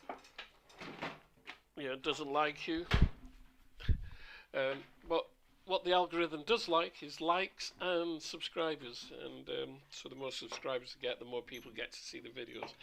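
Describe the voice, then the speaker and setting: A man streaming a flight simulator, chatting casually with rambling asides, his voice a little hoarse. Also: medium pitch, sharp tone, a little hiss or hum. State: slightly hoarse. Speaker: flight sim livestreamer. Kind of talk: casual livestream commentary.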